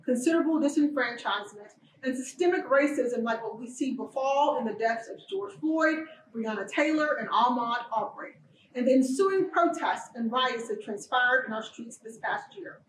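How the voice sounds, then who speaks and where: A woman giving formal opening remarks for a college event, in a measured, earnest delivery. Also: a little high, expressive, echoey room, recorded from a distance, a little hiss or hum, rough recording. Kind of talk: formal prepared speech.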